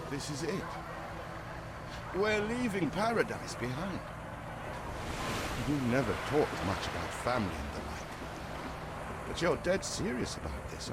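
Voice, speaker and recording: A man's voice: mid-range voice, heavy background noise. Wry, theatrical male drifter, swinging widely. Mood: solemn. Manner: theatrical, wry, drawn-out delivery. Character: loyal, wry male companion sworn by life debt, broke drifter. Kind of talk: dramatic game cutscene acting. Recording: music behind the voice.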